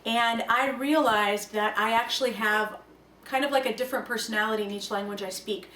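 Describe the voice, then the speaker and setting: Russian-native young woman talking about her own life, earnest, a bit high-pitched, roomy echo and sitting back from the mic. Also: fairly quick, distant mic. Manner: earnest, reflective, lively emphasis. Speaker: language learning speaker. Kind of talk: personal talk to camera. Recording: echoey room, voice from off the mic, faint room noise.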